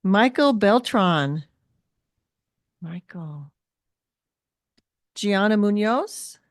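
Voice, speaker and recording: A woman's voice: medium pitch, animated, extremely slow, no echo, clean studio sound. A woman reading out student names at a school board meeting, apologetic and informal.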